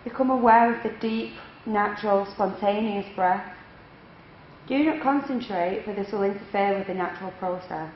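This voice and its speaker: A woman yoga instructor leading a guided relaxation meditation in measured, instructional phrases with unhurried pauses. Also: mid-range voice. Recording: echoey room, dull lo-fi, faint noise.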